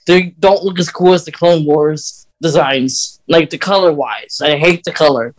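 A man's voice: fairly high pitch, unhurried, rough recording. A man hosting a podcast, talking in a casual fan-chat style. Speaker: podcast host.

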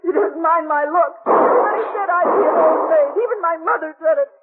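An actress playing a desperate, defiant young frontier spinster in a 1950s radio drama, impassioned and pleading. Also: female voice, very high-pitched, a little fast, background noise. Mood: desperate hope. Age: young adult. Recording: tinny, band-limited 1950s radio recording.

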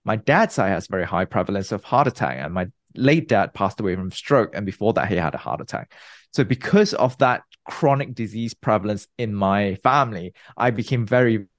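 A man in his thirties from Malaysia talks through his answers in a measured, explanatory way, sometimes restarting a phrase. His voice sits on the low side. The recording is dry and close, with no room sound. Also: expressive, no room sound. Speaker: guest researcher. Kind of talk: interview answers.

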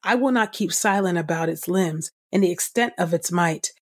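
A woman host reading scripture aloud from a script in a measured, solemn, clearly enunciated way. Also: fairly low pitch. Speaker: podcast host and scripture reader. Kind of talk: scripted audio Bible reading.

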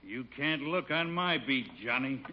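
A man's voice: medium pitch, a little slow, close to an everyday voice. An American actor playing a suspicious, sarcastic beat policeman in a radio comedy, curt and scolding. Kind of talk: 1950s radio comedy acting. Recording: muffled, noisy old radio recording.

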